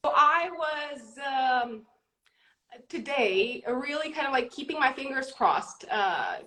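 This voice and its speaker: Woman, high voice, slightly congested, eager, repeats herself. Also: animated, slow, bright, forceful tone. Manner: enthusiastic, repeats words. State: congested from a cold. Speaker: astrologer guest. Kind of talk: casual live-stream chat. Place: at home in the UK.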